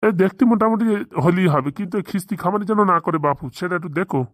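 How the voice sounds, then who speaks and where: A man plays a bossy village gentleman itching to get married in a comic cartoon. He talks fast and folksy, rambling along in dialect. The sound is close and dry. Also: high-pitched, no room sound, lightly acted. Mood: insistent. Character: eager marriage-hungry village gentleman, bossy boss to two helpers. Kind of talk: comic Bengali cartoon dialogue.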